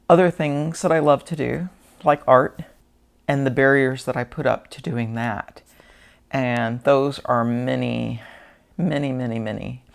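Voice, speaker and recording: A teacher with a gravelly voice, talking through a casual, unscripted book vlog at home, thoughtful and rambling with restarts and pauses. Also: mid-range voice. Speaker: book vlogger. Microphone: wearing a mic.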